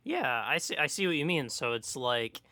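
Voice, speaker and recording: Male podcast co-host with a bright, mid-pitched voice, casual responder, dry recording. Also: expressive, normal speed, no echo. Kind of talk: casual chat, brief reactions.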